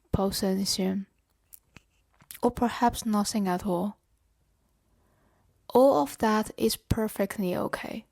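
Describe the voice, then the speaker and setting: A woman leading a guided body scan meditation in a gentle, measured, instructional way. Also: medium pitch. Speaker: meditation guide and therapeutic coach.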